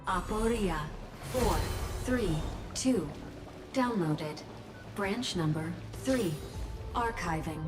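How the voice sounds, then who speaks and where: Machine system, impassive, very slow, measured, formal, game announcement, clear music behind. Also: female voice, mid-range voice, animated.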